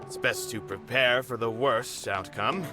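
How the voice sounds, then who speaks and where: An actor playing a dutiful, proud theater manager in a video game, speaking in a measured, formal, earnest way. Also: male voice, mid-range voice, over music, natural voice. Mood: grave, measured.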